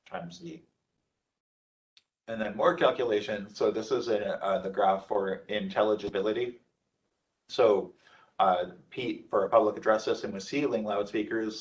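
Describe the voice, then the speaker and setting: A man presenting an informal technical lecture as a trainer, speaking haltingly with frequent hesitant pauses. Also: fairly low pitch, recorded from a distance, rough recording.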